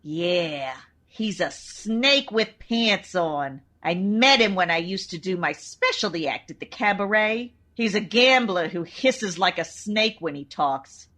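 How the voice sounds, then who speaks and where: A woman's voice: lowish voice, lively intonation, slow, fairly bright tone, full character voice. An actress playing a brash, lovesick young chorus girl in a comedic old-time radio drama, with slangy, melodramatic tough-dame talk.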